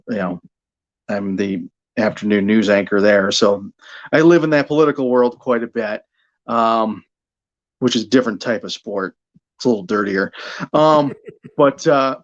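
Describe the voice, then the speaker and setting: A man's voice: medium pitch, lo-fi sound. A middle-aged American man, a broadcaster from Buffalo, talking informally and earnestly as an interview guest. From Buffalo, United States. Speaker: sports broadcaster and news anchor, interview guest. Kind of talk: casual conversational interview.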